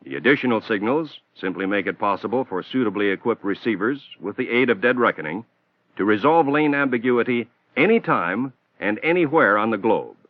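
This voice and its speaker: Man, scripted training-film narrator, lively pitch, tinny narrow old soundtrack. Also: dry recording. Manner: measured, instructional.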